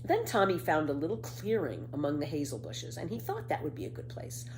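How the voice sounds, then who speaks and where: Animated woman storyteller, lowish voice. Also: brisk, a little hiss or hum, rough recording. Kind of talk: expressive children's story reading.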